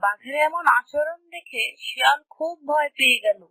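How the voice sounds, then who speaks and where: A woman storyteller reads a scripted children's tale. Her voice is high and bright. The recording is dry, with no room sound. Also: expressive, normal speed, dry recording, rough recording. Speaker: storyteller narrator.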